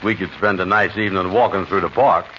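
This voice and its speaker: An actor playing a slow, easygoing bachelor short on cash, drawling in a deep voice, on a vintage radio comedy. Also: male voice, a bit bright. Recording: muffled old radio broadcast, faint hiss.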